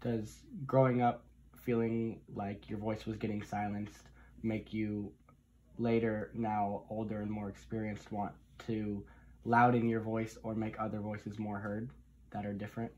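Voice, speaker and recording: Male interviewer, low and monotone, steady questions, faint ambience. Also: measured pace, a little hiss or hum, rough recording.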